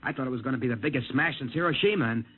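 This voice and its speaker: Dude doing a husky rattled young test pilot, urgent, tinny old radio sound. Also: male voice, medium pitch, racing, natural voice. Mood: earnest. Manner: earnest, urgent. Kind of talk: 1950s radio drama acting.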